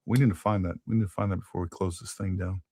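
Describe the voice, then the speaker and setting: A man's voice: low voice, fairly even intonation, fast talker, darkish tone, no room sound, studio quality. A man hosting a casual political talk show, thinking aloud and repeating words as he goes. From Texas. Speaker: podcast host.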